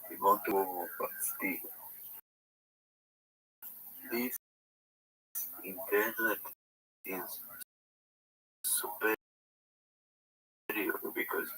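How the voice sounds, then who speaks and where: Slow halting man. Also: fairly low pitch, pushed and bright, slightly rough, low-quality recording. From Serbia (Vojvodina). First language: Hungarian. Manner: halting, repeats words while searching for English. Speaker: guest caller in a livestream chat. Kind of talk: casual chat.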